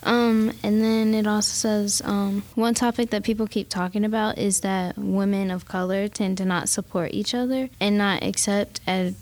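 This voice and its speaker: Gravelly, flat-voiced teen girl. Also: mid-range voice, moderate pace, hardly any pauses. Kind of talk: casual discussion.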